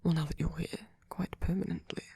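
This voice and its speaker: A performer voicing a sweet, doting male vampire boyfriend in a breathy, hesitant ASMR whisper. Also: mid-range voice, dry recording. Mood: tender, reassuring. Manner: hesitant, halting, rambling, with soft filler murmurs. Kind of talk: ASMR roleplay, soft whispered comforting.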